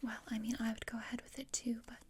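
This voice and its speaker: A woman playing a morbid, scheming, deadpan teenage girl in a whispered ASMR roleplay, with a measured, dryly macabre manner. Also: fairly high pitch, background noise, natural voice. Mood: dry, plotting.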